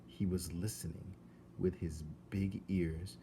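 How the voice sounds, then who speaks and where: A man reading a children's story aloud as an expressive storyteller, deliberate, with dramatic emphasis. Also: low-pitched, creaky, slight background noise.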